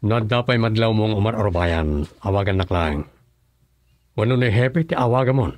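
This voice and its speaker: A man acts as a commanding Filipino boss in an Ilocano radio drama. His voice sits low and is recorded dry and close. He speaks to his people with guarded authority. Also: lively intonation, normal speed, a little mellow, no room sound, lightly acted. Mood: brisk. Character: commanding, secretive male boss and husband addressed as Gob.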